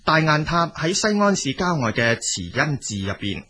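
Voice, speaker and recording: A man with a Cantonese accent narrating a scripted story in a measured, expository manner. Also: on the high side, sing-song, bright, forceful tone, breathy edge.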